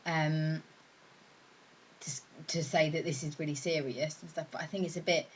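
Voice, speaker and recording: A woman's voice: sits low, steady tone, normal speed, slight background noise, rough recording. A woman chatting casually as an interviewee, tentative and hedging as she goes. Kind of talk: casual chat.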